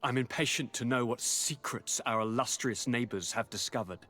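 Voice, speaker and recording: An actor playing an eager young aristocratic governor, effusive and fond, with courtly, theatrical delivery in a video game cutscene. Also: male voice, mid-range voice, normal speed, sharp tone, soft background music. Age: young adult.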